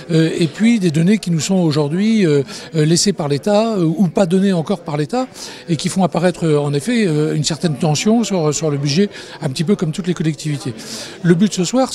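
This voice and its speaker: A man's voice: on the high side, darkish tone. A man in his fifties with a French accent answering an interview as a local official, hesitantly and repeating words as he explains. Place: large meeting hall, indoors. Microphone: handheld interview microphone.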